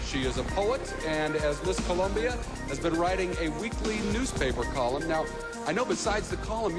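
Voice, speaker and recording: A man hosting a beauty pageant on a large stage, with showy, upbeat presenter patter into a handheld mic. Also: sits high. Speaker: pageant host. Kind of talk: live broadcast hosting.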